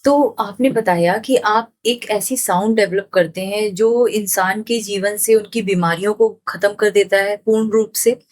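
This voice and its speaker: A woman's voice: medium pitch, fairly even intonation, unhurried, hardly any pauses. A woman from Odisha, interviewing a podcast guest with questions and attentive, agreeing replies. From Odisha, India.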